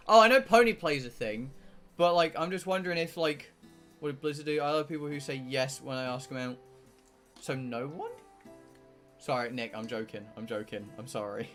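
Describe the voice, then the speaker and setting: A man's voice: on the high side, fairly bright tone. A man from England with a southern English accent, chatting casually and bluntly on a livestream, rambling and swearing freely. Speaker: game streamer.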